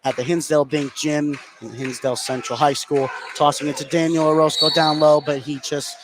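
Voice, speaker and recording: High-voiced man calling basketball, noisy gym. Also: little pitch movement, fairly quick. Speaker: play-by-play sports announcer. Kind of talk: live basketball radio commentary. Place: indoor school gym. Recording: clearly noisy live gym broadcast. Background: gym ambience and noise.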